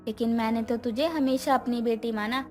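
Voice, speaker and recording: Woman voicing high-voiced gentle forgiving stepmother. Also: steady tone, moderate pace, faint music behind, close to an everyday voice. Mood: forgiving, sorrowful. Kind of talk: dramatized bedtime moral story.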